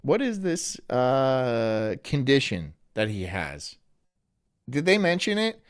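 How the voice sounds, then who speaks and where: A young man from Florida streaming live, rambling from one joke to the next in a deadpan way while his pitch swings widely. The sound is close and dry, with no room around it. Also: takes it slowly, smooth, no room sound. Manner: rambling, joking, deadpan absurd asides. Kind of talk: casual live-stream chat reacting to a video.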